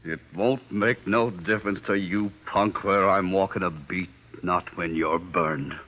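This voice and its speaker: A bullying, vengeful 1940s radio police interrogator, curt and menacing. His voice is deep and his slangy threats come through a dull, muffled old recording. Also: steady tone, unhurried. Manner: curt, menacing, slangy tough-cop talk. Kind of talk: 1940s radio crime drama acting.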